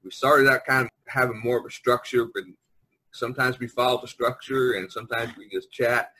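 A man's voice: mid-range voice, steady tone, brisk, sharp tone, slightly rough, low-quality recording. An American man hosting a casual podcast chat on a video call, talkative and jokey, prodding the others along. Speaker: podcast host, visual artist. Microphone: online video conference call.

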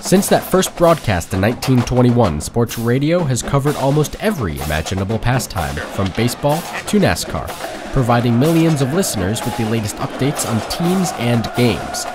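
A man's voice: medium pitch, big pitch swings, normal speed, music in the background. A man reading scripted narration for a documentary.

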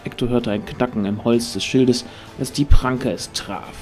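A male narrator with a mellow, warm voice of medium pitch. He reads a dramatic fantasy story as scripted narration. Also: fairly even intonation, a little slow, faint music behind.

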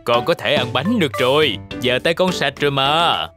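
A performer voicing an encouraging grown-up shark parent in a children's cartoon, coaxing and gently teasing. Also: medium pitch, lively intonation, normal speed, loud music behind the voice, natural voice.

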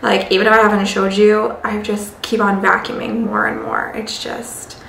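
A woman's voice: medium pitch, flat delivery, normal speed, creaky, a bit bright, reverberant, recorded from a distance, a little hiss or hum, low-quality recording. A young woman vlogging at home, talking casually to camera with many "like" fillers. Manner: chatty, loose, many "like" fillers. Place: home living room, indoors.

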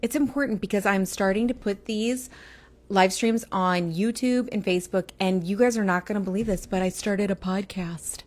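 A woman's voice: medium pitch, normal speed, darkish tone. A woman in her forties with a Texas accent that slips into Midwestern vowels, chatting on a livestream, rambling and breaking off to greet viewers. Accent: Texas, with Midwestern turns. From Texas, United States. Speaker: livestream host. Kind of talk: casual livestream chat. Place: small room indoors. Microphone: earphone headset mic, phone camera close.

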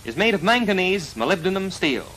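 An American man narrating a 1940s military instructional film in a formal, precise, measured delivery, on a vintage film soundtrack. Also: high voice, sing-song, a bit bright, a little hiss or hum, low-quality recording. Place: voice-over recorded for a film.

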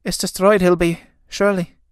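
A woman's voice: on the low side, flat delivery, moderate pace, dry recording, studio quality, voice pushed up, close to an everyday voice. A woman playing an anxious, scolding young Irish elder sister in a stage drama, speaking in an earnest, urgent way. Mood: scolding, distressed.

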